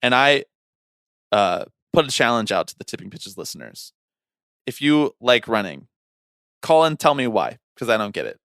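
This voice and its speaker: A man co-hosts a podcast in an animated, chatty way, with pitch that moves around a lot. He keeps it casual and jokey, and the recording is dry with hardly any room sound. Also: moderate pace, long pauses, dry recording. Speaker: podcast co-host.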